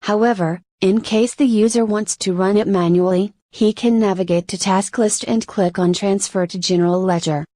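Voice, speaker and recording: A woman reading scripted instructional narration for a software tutorial in a measured, step-by-step manner. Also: mid-range voice, fairly even intonation, dry recording.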